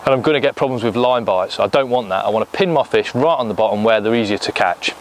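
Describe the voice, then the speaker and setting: A man's voice: on the low side, fast talker, slight background noise. A man in his thirties with an English accent, giving a practical step-by-step fishing tutorial from a lakeside bank on a lapel mic. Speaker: angling coach.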